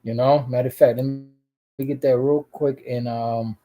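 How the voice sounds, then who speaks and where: A man with a soft, dark-toned voice talks through scripture passages as a channel host. He rambles, circling back with asides and restarting his sentences as he reads out verses. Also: medium pitch, steady tone, normal speed. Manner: rambling, full of "you know" asides and restarts. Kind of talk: casual religious commentary reading scripture.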